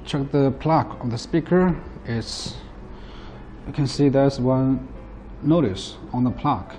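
A man in his thirties, a non-native English speaker, giving a plain, halting, step-by-step product demonstration. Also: medium pitch, darkish tone, soft background music. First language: not English. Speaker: product presenter. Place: indoor office at a table.

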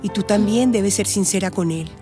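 Radio soap role: woman as compassionate adviser, mellow tone, faint music beneath. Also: medium pitch, moderate pace, close to an everyday voice. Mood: gentle, serious. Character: calm, compassionate woman counseling a troubled girl. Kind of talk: radio drama acting.

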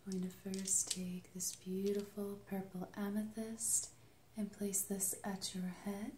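A young adult woman leading an ASMR energy healing session, soft-spoken and soothing, sometimes dropping into a whisper. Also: medium pitch, flat delivery, measured pace. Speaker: Reiki healer and channel host. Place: small dim indoor treatment room. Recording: roomy, slightly noisy home recording.

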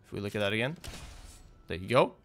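A man's voice: fairly low pitch, animated, fast talker, vocal fry, a bit bright, soft background music. A young man hosting a gaming video, talking off the cuff in a casual, hesitant, rambling and apologetic way.

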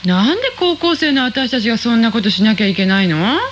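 A woman playing a sassy high-voiced schoolgirl. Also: brisk, a little hiss or hum. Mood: chatty. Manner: chatty, lively, sassy. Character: cheeky teenage high-school girl, quick-witted. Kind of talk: radio drama acting.

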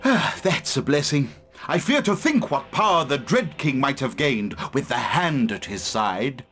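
An actor playing a learned, cautious adviser to a lord in a video game cutscene, measured and ominous. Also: male voice, mid-range voice, animated, fairly bright tone, faint music behind. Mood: ominous, advisory.

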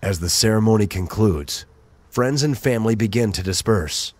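A man's voice: lowish voice, animated, normal speed, a little mellow. A man giving scripted, dramatic narration as the narrator of an audio drama.

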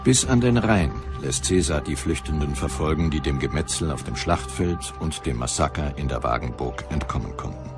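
A man narrating a scripted historical documentary in a measured, serious and dramatic manner. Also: very deep voice, animated. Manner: measured, serious, dramatic present-tense telling. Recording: music behind the voice. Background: music bed.

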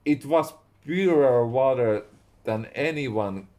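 A young man with a Finnish accent, a native Finnish speaker, slowly reading his own story aloud at home and stopping to correct his words. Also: medium pitch, dark tone. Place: small room indoors at home.

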